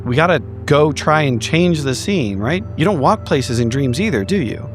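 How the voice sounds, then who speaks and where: A man's voice: on the high side, animated, normal speed, faint music behind. A man playing a tabletop roleplaying game, improvising in character in an argumentative way and swearing casually.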